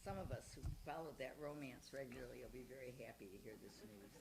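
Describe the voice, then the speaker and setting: Loud older woman welcoming a crowd. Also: a bass voice, fast talker, gravelly, heavy background noise. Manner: loud voice, warm welcoming announcer tone, laughs often. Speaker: community committee member introducing a guest. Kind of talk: live introduction and interview at a residents' gathering. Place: community room at a retirement residence.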